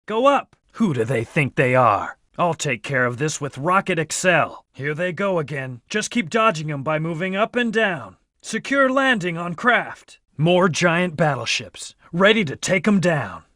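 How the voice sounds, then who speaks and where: A man's voice: fairly high pitch, lively intonation, measured pace, hardly any pauses, bright, forceful tone, no room sound, studio quality. A man playing a brooding, proud young antihero in a video game, speaking in terse, serious commands with a hard edge. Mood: urgent, alert. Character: brooding, proud, amnesiac male antihero warrior. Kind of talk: short in-game hint and battle lines.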